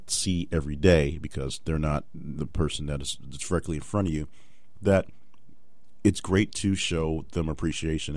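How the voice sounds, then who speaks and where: A man's voice: a bass voice, darkish tone, no echo. A man hosting a solo motivational podcast, talking off the cuff with fillers and restarts. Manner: unscripted, rambling with fillers and restarts. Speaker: podcast host.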